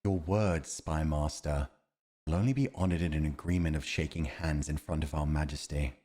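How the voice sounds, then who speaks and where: Male actor as steadfast fantasy war commander: very low voice, formal, measured, honourable. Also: warm tone. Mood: deferential. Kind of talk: fantasy audio roleplay acting.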